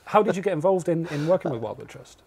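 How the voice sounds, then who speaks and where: A man's voice: a little high, animated, fast, creaky, crowd noise. A man, chatting casually as a podcast host. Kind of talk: casual interview chat. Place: small log cabin. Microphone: close podcast mic on boom arm.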